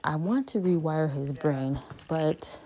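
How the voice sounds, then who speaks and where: A woman in her thirties, a parent vlogging home therapy with her child, speaking in a calm, monotone, matter-of-fact way from a phone camera in a small playroom. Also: deep voice, slow pace, a little hiss or hum. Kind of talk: home therapy vlog, talking while working with her son. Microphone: phone camera on a stand a few feet away.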